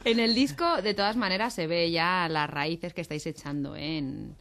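A woman hosting a casual radio interview, chatty and quick to laugh. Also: fairly low pitch, a bit bright, a little hiss or hum. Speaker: radio host.

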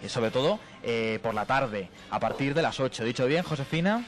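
A man's voice: mid-range voice, lively intonation, moderate pace, faint music behind. A man from Spain doing a live on-location interview as a radio reporter, chatty and jokey.

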